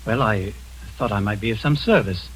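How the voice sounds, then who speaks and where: An actor playing a composed middle-aged man posing as a doctor, speaking with calm, measured, clinical authority in a vintage radio drama. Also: lowish voice, slight background noise, natural voice, poor audio. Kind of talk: 1940s radio acting.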